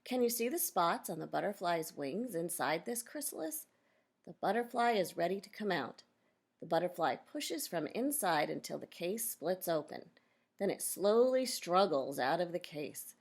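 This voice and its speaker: A woman reading a children's nonfiction book aloud as a teacher, pausing to explain and question her young listeners directly. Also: lowish voice, sing-song.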